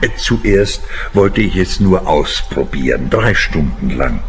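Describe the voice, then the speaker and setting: Nervous oddball in hiding, lowish voice, animated pitch, stammering, excitable, radio drama, faint ambience. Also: male voice, slow pace, slight background noise. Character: nervous, giddy, eccentric man in hiding. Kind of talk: radio play dialogue.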